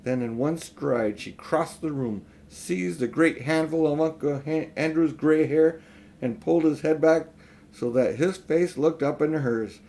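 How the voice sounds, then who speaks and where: A man's voice: a little high, unhurried, mellow. An older man reading a children's story aloud in an informal way. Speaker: audiobook reader.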